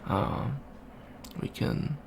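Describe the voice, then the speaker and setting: A man playing a shy, friendly young avian alien student in an ASMR roleplay, hesitant, stammering and rambling. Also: very slow. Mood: shy, eager. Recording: faint background noise.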